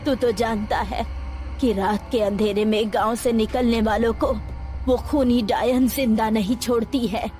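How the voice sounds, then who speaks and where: Older village mother voiced by a woman, anxious, slow and high, for a storybook horror tale. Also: fairly even intonation, dark tone, natural voice. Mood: worried. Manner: slow, worried pleading. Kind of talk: storybook voice acting. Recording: faint music underneath.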